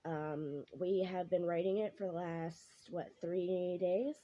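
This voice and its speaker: A woman, hoarse from losing her voice, chatting casually to her viewers in a rambling way. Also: lowish voice, steady tone, takes it slowly. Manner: rambling, many ums and self-corrections. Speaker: vlogger. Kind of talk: casual chat to camera. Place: home kitchen while cooking. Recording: dull lo-fi home recording, faint noise.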